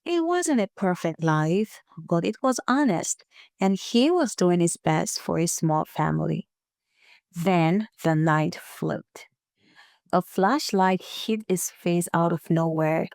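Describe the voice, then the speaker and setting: A woman narrates a story in a slightly low voice, taking her time over each beat. Her delivery is dramatic and suspenseful, built on short punchy phrases and wry casual asides. The recording is close and dry. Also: lively intonation, slow pace, vocal fry, a little mellow, dry recording.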